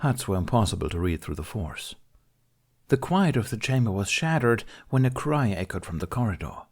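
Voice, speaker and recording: A male narrator reads a story from a script. His voice sits low, and the delivery is that of an audiobook. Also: very animated, normal speed, husky.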